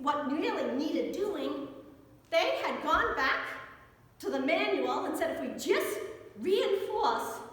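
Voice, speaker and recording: A middle-aged American woman, a pastor, giving a storytelling sermon in an animated, wry manner inside a church. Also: high-pitched. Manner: animated, wry storyteller who acts out dialogue. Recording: echoey church hall with long reverb.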